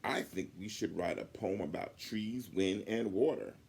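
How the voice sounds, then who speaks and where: A man's voice: on the low side, unhurried, vocal fry, a little mellow, slightly rough, full character voice. A man voicing a blunt but good-hearted young beaver schoolboy in a storybook. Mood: dismissive.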